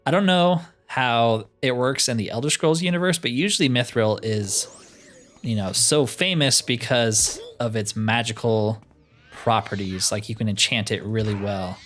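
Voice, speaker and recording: A man's voice: medium pitch, moderate pace, a bit bright. A man giving casual gameplay commentary in a laid-back way, musing to himself as he plays. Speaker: gaming streamer.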